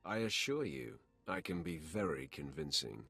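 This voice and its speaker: Deep, husky, swooping Sith warrior. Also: male voice, big pitch swings, moderate pace, pushed and bright, faint music behind, heavily acted. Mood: cold confidence. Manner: cool, self-assured, menacing. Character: confident, fearless male Sith warrior. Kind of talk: video game voice acting.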